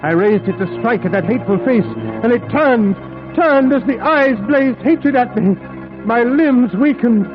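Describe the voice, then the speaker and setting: Terrified young captive, muffled old radio. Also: male voice, extremely high pitch, lively intonation. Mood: horrified. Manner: melodramatic, breathless dread, dramatic pauses. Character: young Englishman held captive, terrified, desperate, haunted. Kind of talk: old-time radio horror play acting. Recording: muffled old radio recording, music behind.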